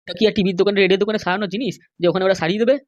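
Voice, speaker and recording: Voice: mid-range voice, steady tone, rapid-fire, dry recording, dull audio, lightly acted. An actor voicing an anxious, middle-aged male politician who pleads and complains in a comedy cartoon. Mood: pleading.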